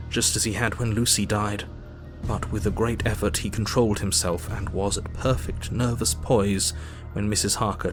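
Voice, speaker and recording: An actor playing a sober Victorian doctor who narrates his diary in an audio drama, measured and formal. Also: lowish voice, lightly acted.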